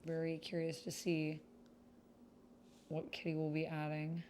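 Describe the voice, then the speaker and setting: A woman's voice: sits low, completely flat delivery, unhurried, a little mellow, slight background noise. A woman hosting a roleplay livestream, talking informally to her viewers and reading chat. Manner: informal, chatty, addresses viewers. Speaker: streamer hosting a tabletop roleplay. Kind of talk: casual livestream chat, reading chat and story text aloud.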